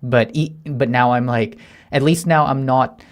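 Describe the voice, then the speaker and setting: Guy in his 30s coding on stream, mumbles stuff half to himself, trips over his words a lot, middle pitch voice. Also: steady tone. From Thailand. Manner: halting, trips over words and restarts when nervous; soft murmured asides while reading. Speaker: software developer streaming. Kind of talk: thinking aloud while coding, reading plan text.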